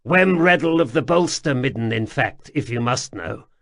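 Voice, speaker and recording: A man voicing an excitable, wheedling grimy male cave-dweller who talks loudly and pleads. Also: mid-range voice, normal speed, creaky. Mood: agitated.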